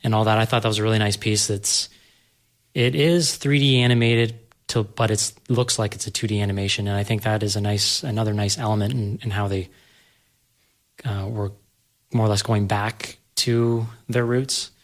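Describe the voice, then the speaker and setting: A man's voice: lowish voice, steady tone, normal speed. A man explaining and discussing film in a casual panel conversation. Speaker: panel guest explaining animation.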